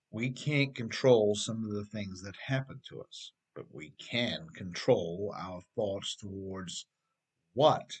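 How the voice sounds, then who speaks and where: A middle-aged man, an author reading his own scripted motivational writing with emphatic, deliberate phrasing. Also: lowish voice, slightly rough.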